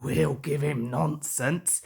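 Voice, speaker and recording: A woman's voice: sits low, fairly even intonation, slow pace, creaky, a little mellow, breathy edge, pitched below the performer's own voice, full character voice. A woman voicing spiteful, mischievous railway trucks in a grumbly, sneering manner for a storybook. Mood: resentful.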